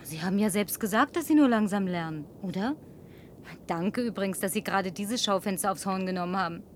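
A woman's voice: mid-range voice, a little fast, a little hiss or hum, lightly acted. An actress dubbing a lonely young woman in a film who talks in a playful, coaxing way and sometimes drops to a whisper. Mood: teasing. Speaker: survivor in a film, dubbed.

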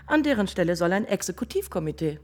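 An actress playing a knowing, urgent informant in a radio thriller, insistent in manner. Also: female voice, fairly low pitch, big pitch swings, fast, a little mellow. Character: urgent, knowing woman exposing a coup plot.

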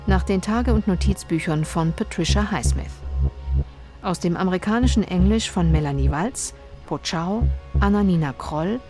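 Woman announcer reading radio drama credits, warm dark tone, lowish, faint music.

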